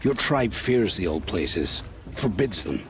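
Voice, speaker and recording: A shifty game scrounger caught off guard. His low voice rises and falls widely as he stalls, slowly picking each word, with a faint hiss of noise underneath. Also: big pitch swings. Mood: evasive. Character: nervous secretive male scrounger, young adult. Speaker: game character. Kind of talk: video game cutscene dialogue.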